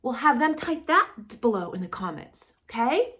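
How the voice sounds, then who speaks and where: A woman's voice: on the high side, very animated, normal speed, a bit bright. A woman hosting a children's storytime, speaking directly to young children in an encouraging, sing-song way with lots of little questions. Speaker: host of a children's storytime channel.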